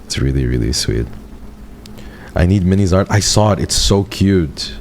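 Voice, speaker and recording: A man in his twenties with an extremely low voice chats casually with his livestream viewers. He rambles warmly and often leaps upward in pitch when something excites him. A faint hiss sits under the desk-mic recording. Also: normal speed, gravelly. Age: 20s. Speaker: VTuber streamer.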